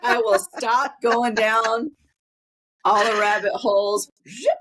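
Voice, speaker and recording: A woman's voice: fairly high pitch, fairly even intonation, slow pace, a bit bright, breathy edge, rough recording. A woman co-hosting a podcast, chatting casually, wandering off on tangents and laughing often. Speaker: podcast co-host, hospice nurse. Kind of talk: casual interview chat.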